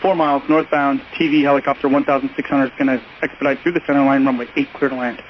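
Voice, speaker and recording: A man's voice: medium pitch, flat delivery, fast talker. A man working as an air traffic tower controller, giving clipped procedural instructions over aviation radio. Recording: muffled, lo-fi radio with faint noise.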